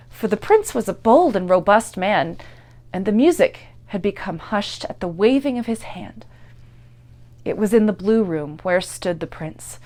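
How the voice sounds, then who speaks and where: A woman giving a dramatic, theatrical narration of a gothic horror story, moving between hushed and intense delivery. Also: medium pitch. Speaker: actor and performer reading a story.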